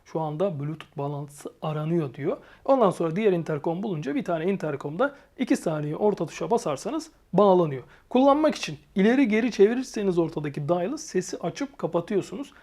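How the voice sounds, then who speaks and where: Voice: mid-range voice, expressive, a little fast, hardly any pauses, a little mellow. A reviewer giving a casual, explanatory product review and addressing viewers informally. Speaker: motorcycle gear reviewer and channel host.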